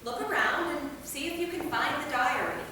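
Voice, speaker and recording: A sensible, mildly bossy peacekeeper acted by a woman in a staged reading. The voice is high and earnest, far from the mic in a long-reverb hall with clear noise. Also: animated, moderate pace. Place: theater stage, recorded from a distance.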